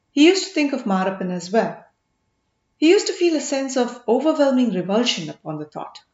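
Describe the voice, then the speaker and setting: A woman narrating a translated novel as an audiobook reader, in measured storytelling. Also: a little high, a little mellow, echoey room, lo-fi sound. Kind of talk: scripted narration.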